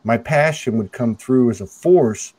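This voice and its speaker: A middle-aged man with a loud, carrying voice talking earnestly on a video call, full of restarts, through an earphone mic at home. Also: mid-range voice, no room sound. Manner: earnest, halting, many restarts. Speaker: host. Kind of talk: spiritual video call conversation. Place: home room, indoors. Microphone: earphone headset mic on a video call.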